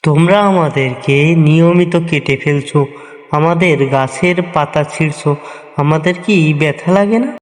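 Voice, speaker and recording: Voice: mid-range voice, moderate pace, in a large hall, close to an everyday voice. Reproachful talking cartoon tree, voiced by an actor. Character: aggrieved, resentful old tree passing judgment. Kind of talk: cartoon folk tale dialogue. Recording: music behind the voice. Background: music.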